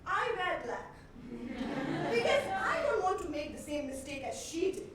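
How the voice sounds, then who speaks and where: A woman performing a theatrical monologue as a haunted, unhinged woman, speaking deliberately with dramatic pauses, in a theatre. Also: extremely high pitch, big pitch swings, full character voice. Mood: manic, brittle. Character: haunted, unhinged woman recalling her mother's death. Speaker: solo stage actor. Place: indoor theatre stage. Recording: echoey theatre stage, distant mic, noisy.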